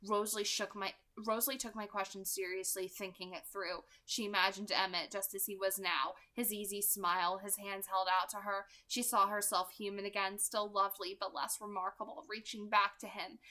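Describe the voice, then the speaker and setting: A woman reading a novel aloud as an expressive amateur narrator. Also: medium pitch, normal speed, few pauses. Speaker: amateur audiobook reader.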